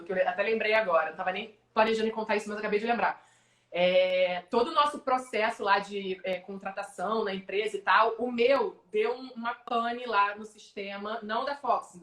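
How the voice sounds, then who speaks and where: A young adult Brazilian woman, a sports announcer, telling animated stories in a casual live-stream interview. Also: medium pitch, echoey room. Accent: Brazilian Portuguese. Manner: animated storyteller, quick asides. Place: home, online video call.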